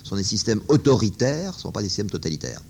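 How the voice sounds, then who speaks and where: A man answering questions on political philosophy, slow and hesitant, often restarting his sentences. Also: lowish voice, big pitch swings, gravelly, mellow, slight background noise. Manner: hesitant, halting, many fillers and restarts. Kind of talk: spoken philosophical discussion.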